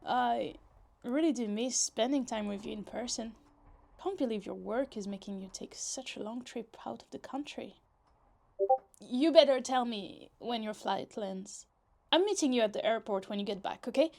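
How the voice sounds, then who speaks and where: Woman acting as a possessive young yandere woman, fairly high voice, clingy, dramatic, teasing, casual roleplay ASMR delivery. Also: normal speed, creaky, a little mellow. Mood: affectionate, clingy.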